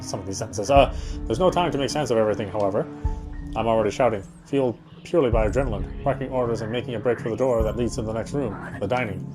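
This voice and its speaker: A man's voice: mid-range voice, fast. A man reading a horror game's story aloud as dramatic narration, sometimes stumbling and rereading phrases. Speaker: game player reading story text aloud. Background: game music.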